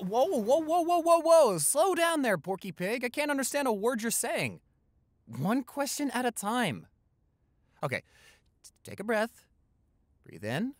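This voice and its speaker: A man's voice: sits high, no room sound, voice pushed up. Man playing playful, caring young American boyfriend. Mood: teasing, excited, then soothing. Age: young adult. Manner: teasing, reassuring, hesitant stammers. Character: playful, caring new boyfriend, college student. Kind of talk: improvised boyfriend roleplay audio.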